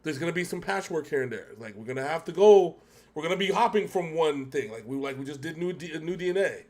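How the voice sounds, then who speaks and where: Talkative man with a higher-pitched voice. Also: expressive, fast, a little mellow. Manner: rambling, talkative, self-correcting. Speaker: co-host of a music reaction show. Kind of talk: casual reaction chat.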